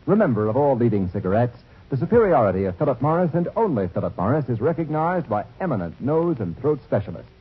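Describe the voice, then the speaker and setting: A man's voice: medium pitch, big pitch swings, fast talker, few pauses, breathy edge. A male radio announcer reading a scripted commercial in an emphatic, persuasive way. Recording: muffled old radio broadcast.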